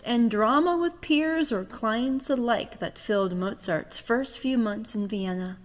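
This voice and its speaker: Woman narrator in long, hall-like reverb. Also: medium pitch. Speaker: author, director and narrator. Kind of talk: scripted storytelling narration.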